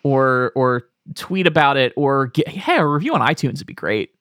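Man, late 30s, podcast co-host; casual, rambling, mid pitch, dry sound. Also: lively intonation, normal speed, fairly bright tone, no echo. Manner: rambling, stops and restarts, wry. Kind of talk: casual chat.

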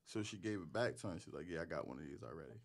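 A man's voice: rumbling low, very fast, vocal fry. A young man co-hosting a casual chat, telling slangy, joking stories. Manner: animated, joking, slangy storytelling. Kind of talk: casual banter.

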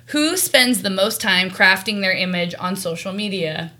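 Animated young woman podcast co-host, giggly, bright mid-pitched voice. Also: normal speed. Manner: giggly, animated, acts out little quotes. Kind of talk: casual chat over wine.

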